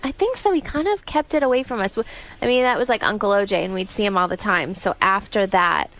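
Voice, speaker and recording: A woman's voice: medium pitch, expressive, quick pace, fairly bright tone. A woman guest on a radio interview, telling her family story in a candid, thoughtful way. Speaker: celebrity guest. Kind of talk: casual interview chat. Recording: radio studio.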